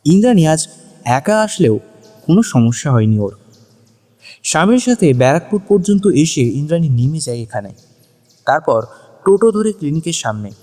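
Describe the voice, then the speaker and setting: A man's voice: on the high side, sing-song, a little fast, long pauses, warm tone. A man narrating a scripted dramatic audio story. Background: background music.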